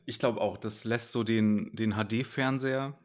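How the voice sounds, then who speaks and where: A man's voice: fairly low pitch, fairly even intonation, a little slow. A man co-hosting a podcast, chatting casually and easily about a series, laughing now and then. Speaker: podcast co-host. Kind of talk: casual chat reviewing a series.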